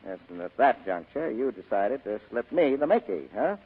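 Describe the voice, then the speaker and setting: An actor playing a cool, wisecracking hard-boiled private detective in 1940s radio style, clipped and cynical. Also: male voice, medium pitch, sing-song, normal speed, slightly rough. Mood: businesslike. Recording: muffled vintage radio recording.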